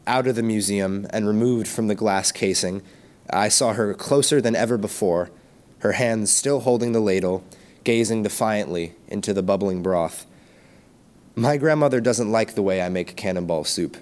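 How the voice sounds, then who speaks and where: A man's voice: lowish voice, moderate pace. A teenage boy reciting a narrative poem as a student at a podium microphone. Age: teens. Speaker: student poet. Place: school stage at a podium. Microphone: gooseneck podium microphone.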